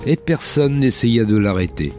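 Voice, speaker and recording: A man with a cold and a slightly hoarse voice, hosting a radio quiz and reading Bible passages aloud with joking asides. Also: medium pitch, expressive, normal speed, over music. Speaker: radio host. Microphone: studio microphone.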